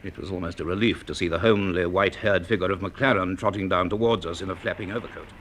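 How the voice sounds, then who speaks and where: Low-voiced, formal professor. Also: male voice, fairly even intonation, fairly bright tone, slight background noise. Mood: grave, descriptive. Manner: formal, measured, literary delivery. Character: reserved, brisk male university professor, drily storytelling. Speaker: narrator and lead character. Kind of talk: BBC radio drama acting and narration.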